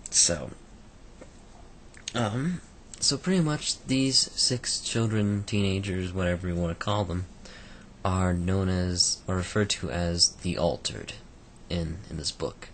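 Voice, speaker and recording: Croaky deep-voiced man rambling. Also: slow, slight background noise. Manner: rambling, many fillers and restarts. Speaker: book reviewer. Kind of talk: casual spoken book review.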